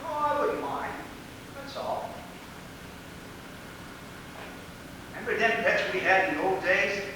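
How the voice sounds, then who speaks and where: A man's voice: high-pitched, lively intonation, moderate pace. A man playing a grumpy, stubborn country husband in a stage play, with folksy, cantankerous speech. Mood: gruffly fond. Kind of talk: stage play acting, projected. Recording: echoey hall from far off, faint noise.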